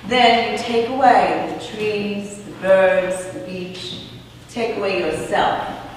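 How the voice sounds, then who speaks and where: Woman acting a gentle, reflective loner in an echoey hall. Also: medium pitch, slow, close to an everyday voice. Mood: calm, reflective. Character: solitary reflective woman, gentle and curious, meditating alone outdoors. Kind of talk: stage play acting. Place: theatre stage, live performance. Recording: echoey hall from far off, faint noise.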